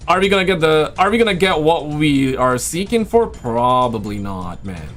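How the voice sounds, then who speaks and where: Higher-pitched man chatting casually on a livestream. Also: sing-song, normal speed, fairly bright tone, faint music behind. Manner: slangy, rambling, repeats phrases. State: losing his voice. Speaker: livestream host and video creator. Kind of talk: casual livestream chat with viewers.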